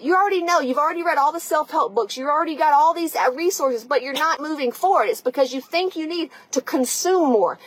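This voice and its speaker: A woman's voice: sits high, low-quality recording. A woman podcast host and coach giving a blunt, profane, emphatic tough-love motivational talk, speaking conversationally and straight to the listener. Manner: conversational, addressing the listener directly.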